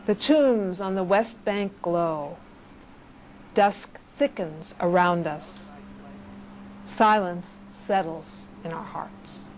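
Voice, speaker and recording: Slow, reflective woman reading poetry. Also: lowish voice, a little mellow, slight background noise, poor audio. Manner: measured, reflective delivery with short phrases. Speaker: poet reading own work.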